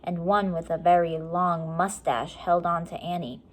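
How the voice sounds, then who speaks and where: A woman reading a children's chapter book aloud with measured, expressive storytelling. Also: fairly low pitch. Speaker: story reader.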